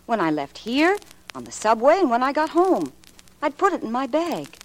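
An actress playing an earnest, anxious secretary under questioning in a late 1940s radio mystery, confiding and emphatic. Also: female voice, high-pitched, big pitch swings, normal speed, low-quality recording.

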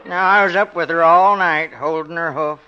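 A man's voice: a little high, moderate pace, heavy background noise, close to an everyday voice. A man acting a folksy, sentimental, droll horse owner who dotes on his animal, in a 1950s American radio comedy. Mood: mournful. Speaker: radio comedy supporting actor. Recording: muffled old radio recording.

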